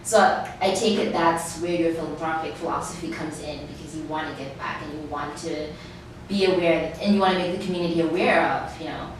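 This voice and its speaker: A woman's voice: low-pitched, steady tone, a little fast. A woman hosting a casual sit-down interview, speaking in an effusive, complimentary way. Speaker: interviewer and host. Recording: echoey room, distant mic, faint noise.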